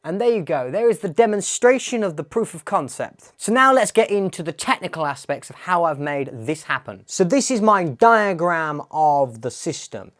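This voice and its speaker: A man's voice: a little high, very animated, normal speed, hardly any pauses, fairly bright tone. A man presenting a tech tutorial, explaining a demo in a plain-spoken, step-by-step way.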